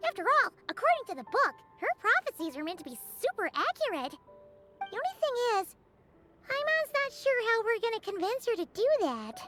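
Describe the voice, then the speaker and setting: Game role: excitable little fairy sidekick, squeaky, childlike, sky-high and very animated. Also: female voice, normal speed. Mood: excited. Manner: chirpy, speaks of herself in third person. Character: tiny floating fairy companion, childlike, excitable, nosy. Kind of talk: video game voice acting. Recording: loud music behind.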